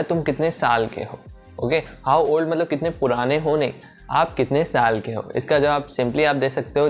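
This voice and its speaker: A man teaching spoken English, explaining in Hindi and repeating each English phrase slowly and clearly. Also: fairly high pitch. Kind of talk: bilingual spoken-English lesson.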